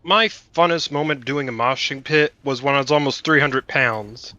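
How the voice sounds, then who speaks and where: A man chatting casually while gaming, giving halting callouts with fillers. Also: medium pitch, bright, forceful tone, no room sound, muffled recording. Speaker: co-player.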